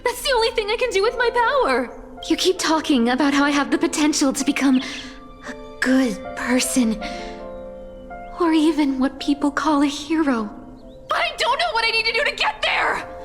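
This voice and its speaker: A woman voicing an insecure young female street thief in a video game, earnest, halting and pleading. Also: high voice, sing-song, fairly bright tone, long reverb tail, soft background music. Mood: frustrated, pleading. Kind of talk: dramatic video game voice acting.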